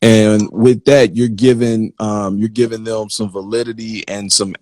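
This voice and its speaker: A man hosting a live interview in a conversational way, haltingly circling back and repeating words as he frames his points. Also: lowish voice, little pitch movement, warm tone, no echo. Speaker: interview host.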